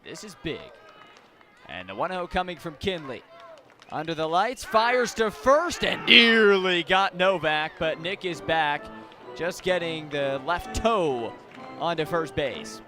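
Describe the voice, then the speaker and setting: A man calling baseball play-by-play live on the radio in an animated way, with dramatic pauses. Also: on the high side. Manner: animated, dramatic pauses, swelling on big plays. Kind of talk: live radio sports commentary. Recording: crowd and music behind the commentary. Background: ballpark crowd and stadium music.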